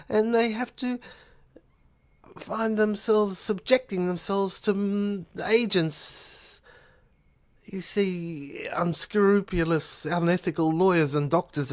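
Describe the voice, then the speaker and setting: A speaker of middling pitch performs in an amateur science fiction audio serial. The delivery is slow and halting, with stammers and repeated phrases as the character earnestly explains. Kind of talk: amateur audio drama dialogue.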